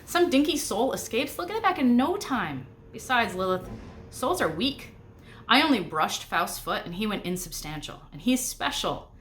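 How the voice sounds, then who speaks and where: A woman's voice: a little high, sing-song, moderate pace, a bit bright, slight background noise. A woman voicing a cocky young demon boy in a storybook, carefree and dismissive. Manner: unconcerned, dismissive.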